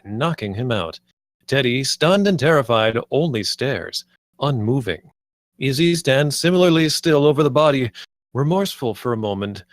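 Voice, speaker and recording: Male narrator for a table read, mid-pitched, dry close sound, scripted stage directions. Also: no echo.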